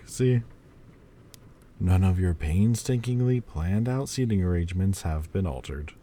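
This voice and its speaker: A mischievous male Lord of Demons, played by a man in an audio roleplay, who speaks in a very low, unhurried voice with a dark, mellow tone. He teases playfully, and he grows halting and unsure when feelings come up. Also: slight background noise. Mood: playful, teasing. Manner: teasing and playful, turning halting and hesitant. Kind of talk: listener-directed audio roleplay.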